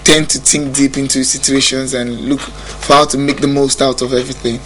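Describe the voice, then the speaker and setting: A man's voice: mid-range voice, little pitch movement, fairly quick, a bit bright, a little hiss or hum. A young Nigerian man with a Nigerian accent, a musician talking casually in an interview, reflective, often restarting his sentences. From Nigeria (Bayelsa State). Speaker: musician guest.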